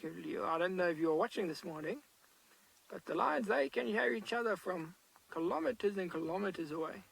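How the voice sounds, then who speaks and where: A man, a safari guide giving loud, animated live commentary from an open vehicle outdoors. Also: high-pitched, moderate pace, gravelly, breathy edge, low-quality recording.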